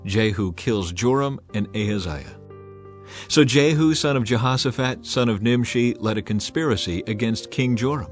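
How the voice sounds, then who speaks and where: A man reading a dramatized Bible passage as narrator, lifting his voice to act out the quoted speakers. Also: normal speed. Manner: acts out quoted speakers by raising pitch and volume. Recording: soft music bed behind the voice.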